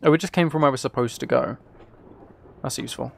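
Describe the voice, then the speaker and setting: A man's voice: medium pitch, fast, vocal fry. A man giving casual live commentary as he streams a video game. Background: video game music.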